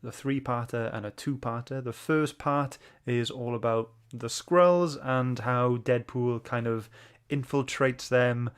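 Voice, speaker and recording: A man's voice: medium pitch, unhurried, few pauses, smooth. A man hosting a book club livestream, chatting informally and greeting viewers. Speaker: host.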